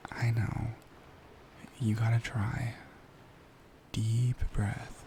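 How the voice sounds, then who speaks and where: Guy playing a caring boyfriend in asmr roleplay, real slow and soothing, gravelly soft voice. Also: medium pitch, level tone, noisy background. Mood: anxious, soothing. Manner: soft, breathy, soothing, often near whisper. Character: caring, worried young boyfriend comforting his partner.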